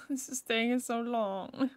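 A woman's voice: fairly high pitch, steady tone, slow pace, no room sound. Chatty Dutch woman streaming a game. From Netherlands. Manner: sarcastic, chatty, jokes at the game. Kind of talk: casual gameplay commentary.

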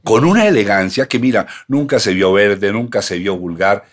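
A man's voice: on the low side, animated, normal speed. An older man hosting a podcast, talking with enthusiasm and stammering restarts in a small studio. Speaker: podcast host and writer. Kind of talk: casual podcast conversation. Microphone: studio mic on stand.

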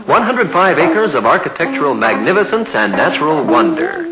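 A middle-aged man giving formal, measured 1950s newsreel narration. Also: a little high, huge pitch swings. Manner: measured, formal announcer delivery. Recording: dull lo-fi 1950s newsreel soundtrack with loud music. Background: loud orchestral music.

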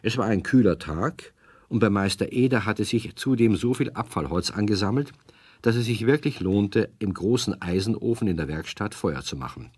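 A man's voice: fairly low pitch, animated, moderate pace, darkish tone, breathy edge, no room sound. A man narrating a children's audio drama as a scripted storyteller.

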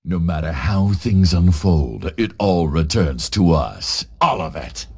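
Evil inhuman game villain, crazy deep n raspy, slow ominous talk. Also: male voice, lively intonation, darkish tone, heavily acted. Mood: cold, ominous. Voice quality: gravelly, husky. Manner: slow, portentous. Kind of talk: dramatic video game voice acting.

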